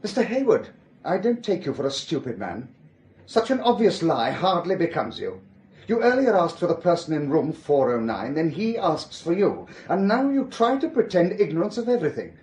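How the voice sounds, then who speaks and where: Lively, suave German police inspector. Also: male voice, on the high side, normal speed, roomy sound. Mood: stern, insistent. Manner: formal, precise, elaborately polite. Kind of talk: radio drama acting.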